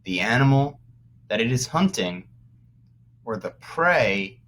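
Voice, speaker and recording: A man teaching young children through a read-aloud, pausing to explain words as he goes. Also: medium pitch, sing-song, fairly bright tone, slight background noise. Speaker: first-grade teacher.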